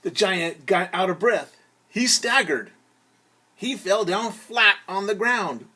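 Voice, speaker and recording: A man's voice: high-pitched, breathy edge, faint music behind. A man telling a story to children as a teacher, in a theatrical way, acting things out and explaining words simply. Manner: theatrical, acts out shouts, whispers and sound words; pauses to explain words simply and invite joining in. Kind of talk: animated children's storytelling with singing and word explanations.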